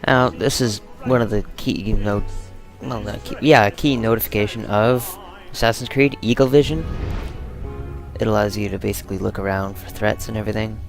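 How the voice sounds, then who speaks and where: Low, gravelly young-sounding male gamer commentary. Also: normal speed, faint music behind. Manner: meandering, playful asides. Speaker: gaming channel host. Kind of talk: casual playthrough commentary.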